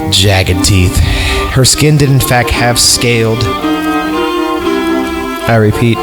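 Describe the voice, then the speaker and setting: A low-voiced man with a gravelly edge reads a creepy story aloud, constantly stopping to mock it with sarcastic asides. Clear music plays behind him throughout. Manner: sarcastic, interrupts the reading with jokes. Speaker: podcast host. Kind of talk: mocking reading of a horror story.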